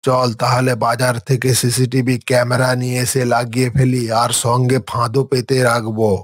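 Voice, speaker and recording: A man's voice: mid-range voice, monotone, moderate pace, no echo, clean studio sound, close to an everyday voice. A man voicing a bossy, angry tiger farmer in a cartoon, scolding and exclaiming. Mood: irritated, urgent. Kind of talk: cartoon voice acting.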